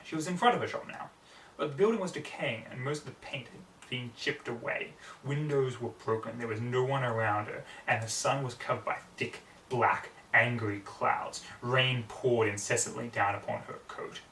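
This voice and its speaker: A young man narrates a story with earnest drama. His voice is bright and mid-pitched. It comes from a little way off in a small, echoing room. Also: normal speed. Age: young adult. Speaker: story reader. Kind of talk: dramatic fan fiction narration. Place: small home room with computer desk. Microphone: distant camera microphone. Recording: roomy, distant mic, background noise.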